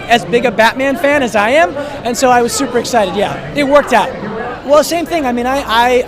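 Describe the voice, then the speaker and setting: Film director, high voice, enthusiastic, rambling, red carpet, crowd and music behind. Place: outdoor premiere red carpet. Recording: outdoor premiere with loud crowd and music.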